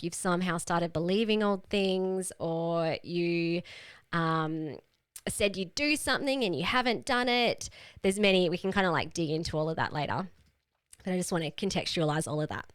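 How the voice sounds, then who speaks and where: A woman's voice: fairly low pitch, clear, resonant tone, no room sound, clean studio sound. A woman leading a live online group call as a health coach, teaching in a casual, explanatory and emphatic way.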